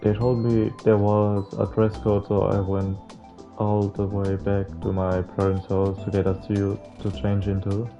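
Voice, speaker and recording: A man casually reading a visual novel's text aloud as a playthrough host, halting at times and adding offhand asides. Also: lowish voice, monotone, dark tone. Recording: game music behind the voice. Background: game music.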